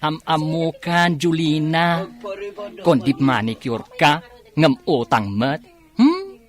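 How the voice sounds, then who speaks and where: A woman's voice: sits low, sing-song, takes it slowly, heavily acted. A woman playing a blunt, sassy village beauty parlor owner in a comedy radio drama, with an Ilocano accent. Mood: sassy scolding.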